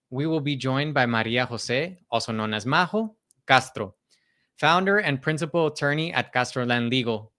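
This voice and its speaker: A man's voice: medium pitch, bright, forceful tone. A man hosting a business podcast, reading a formal, polite introduction and then interviewing his guest. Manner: formal, polite, reads from notes. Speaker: podcast host.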